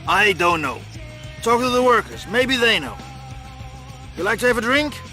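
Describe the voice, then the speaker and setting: A man's voice: sits high, animated, normal speed, pushed and bright, faint music behind. A man playing a chatty, tipsy, leering harbour bar local in a video game, talking in simple clipped phrases. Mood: jovial, sly.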